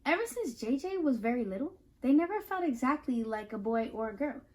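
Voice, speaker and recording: Animated, high-voiced woman teaching young children. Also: fairly quick. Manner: animated, instructive, addresses listeners with questions. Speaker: host reading a picture book aloud to children. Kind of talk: children's read-aloud with teaching asides.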